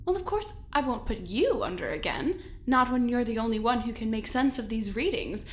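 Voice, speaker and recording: A woman playing an ambitious, quietly ruthless boss in an audio drama, mock-sweet, condescending and theatrical, with drawn-out emphasis. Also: fairly high pitch, lively intonation, lightly acted. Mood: gleeful ambition.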